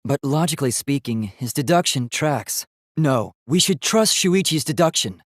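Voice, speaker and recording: A man's voice: fairly high pitch, animated, moderate pace, a bit bright, no echo, clean studio sound. A man playing an earnest young male robot student in a video game, speaking in a precise, polite and formal way. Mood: calm, earnest.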